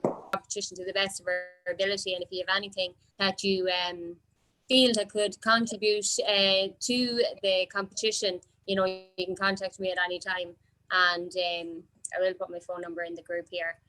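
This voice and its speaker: A woman's voice: medium pitch, flat delivery, normal speed, sharp tone, rough recording. A woman informally addressing a group as its organiser. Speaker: workshop organiser.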